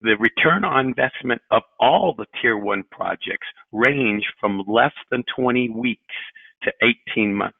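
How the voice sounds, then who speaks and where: A middle-aged man speaking as a company chief executive, giving a formal, measured earnings presentation in deliberate phrases. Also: medium pitch, gravelly, slightly rough, no room sound. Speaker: company president and CEO. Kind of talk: prepared corporate earnings presentation. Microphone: telephone on a conference call. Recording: narrowband tinny phone line.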